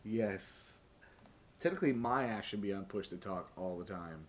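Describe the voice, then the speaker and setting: A man's voice: on the low side, expressive, moderate pace. A man hosting a tabletop game stream as game master, talking casually and jokily with plenty of swearing. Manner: profane, joking, laughs often.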